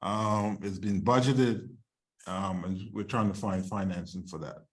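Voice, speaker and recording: A man's voice: low voice, fairly even intonation, a little slow, breathy edge. A man speaking as a screenwriter and filmmaker, answering questions informally on an online video call.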